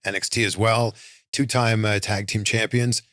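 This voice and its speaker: Male interview host, lowish voice, dry close sound, friendly prompting questions. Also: little pitch movement, moderate pace, a bit bright, no echo. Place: studio.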